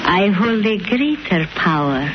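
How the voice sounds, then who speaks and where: An imperious exotic villainess speaks slowly and formally, with defiance and menace. It is 1930s radio acting, heard through a dull recording with clear hiss. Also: female voice, medium pitch, very animated. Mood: defiant, menacing. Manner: slow, formal, imperious foreign phrasing. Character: defiant, menacing exotic female dancer and drug smuggler.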